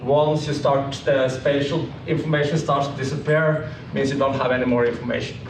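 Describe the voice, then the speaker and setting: A man giving an informal technical slide presentation, explaining in a halting way. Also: medium pitch, level tone. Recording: echoey room, distant mic, clearly noisy.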